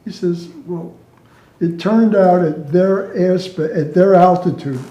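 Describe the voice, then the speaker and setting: The recording is echoey, and the voice is an older man's, mellow and a little high. He tells work stories casually, like a retired controller, with amusement and quoted back-and-forth. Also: takes it slowly, muffled recording. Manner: amused, chuckles through own stories, reenacts dialogue. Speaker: retired air traffic controller telling stories. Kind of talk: casual anecdotal storytelling. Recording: roomy, echoey sound.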